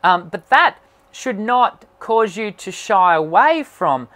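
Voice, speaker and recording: A man who hosts a singing channel, answering viewer questions on a live stream in an encouraging tone and often pausing with "um". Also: high-pitched, very animated, a bit bright. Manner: encouraging, talks with many "um" fillers. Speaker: singing teacher and channel host.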